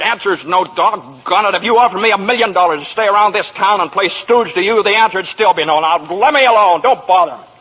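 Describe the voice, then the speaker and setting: An actor playing an earnest, excitable small-town husband in a radio drama, halting and emotional. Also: male voice, sits high, lightly acted. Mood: incredulous, defiant. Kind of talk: classic radio drama acting. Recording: dull, faintly noisy radio recording.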